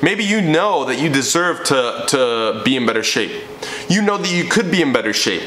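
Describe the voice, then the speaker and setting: A young man coaching viewers as he tells his own story, his voice sitting at a middle pitch. He speaks with emphasis and gestures as he talks. The room around him echoes, with a faint hum of ambience. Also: sing-song, moderate pace, a bit bright. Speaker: fitness coach. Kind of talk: personal story to camera. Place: living room indoors. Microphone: camera in front, seated framing.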